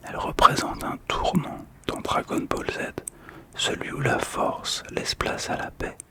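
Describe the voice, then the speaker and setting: A man hosting ASMR in a breathy whisper, speaking gently and soothingly with pauses, close to the microphone. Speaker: ASMR video host. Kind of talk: whispered ASMR card description. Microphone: close ASMR microphone, monitored on headphones.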